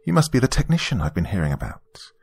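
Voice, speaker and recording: A man's voice: fairly low pitch, very animated, fast, creaky, a little mellow, husky, full character voice. A man voicing a young British woman in an audio story, a playful, gracious hostess with a genteel, teasing way of talking. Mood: amused, forgiving. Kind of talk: audio story character dialogue.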